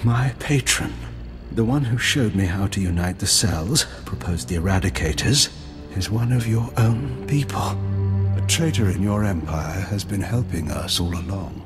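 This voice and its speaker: An actor playing a defiant, fatalistic rebel leader in a game cutscene, grave and sardonic. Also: male voice, low-pitched, normal speed, big-room sound, close to an everyday voice. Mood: bitter. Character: defiant rebel leader, middle-aged man, proud and fatalistic. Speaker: villain. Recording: game cutscene with loud music.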